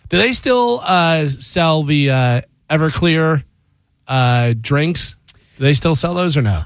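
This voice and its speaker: A man's voice: fairly high pitch, lively intonation, dry recording, studio quality. Joking male radio host. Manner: joking, laughs often, drawn-out wind-down of the show. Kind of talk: casual radio banter. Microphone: studio microphone.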